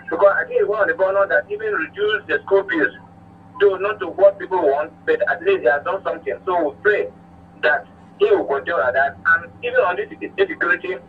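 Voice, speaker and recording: High-voiced Nigerian man on tinny phone. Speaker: phone-in caller. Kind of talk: earnest political opinion on a radio phone-in. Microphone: telephone. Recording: narrow tinny phone line, noisy hum.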